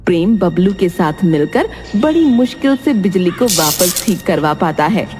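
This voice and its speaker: A woman's voice: lowish voice, animated, normal speed, darkish tone. A woman narrating a scripted children's moral story. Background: background music.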